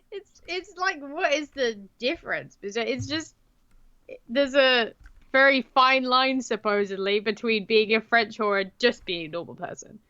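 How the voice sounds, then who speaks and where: A woman's voice: a little high, expressive, slow, pushed and bright. A young woman co-hosting a livestream, giggly and teasing, reading comments from chat. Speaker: stream co-host. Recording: dull, slightly muffled mic.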